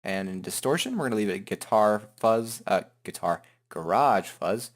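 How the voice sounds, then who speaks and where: A man hosting a software tutorial in an informal, joking, self-deprecating way. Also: lowish voice, animated, moderate pace. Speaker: tutorial host. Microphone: headset microphone.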